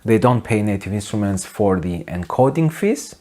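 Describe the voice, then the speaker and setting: A man in his forties giving an opinionated video essay to camera in a deadpan, wry manner. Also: on the low side, normal speed. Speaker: host of a music production video channel. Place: small home studio, indoors.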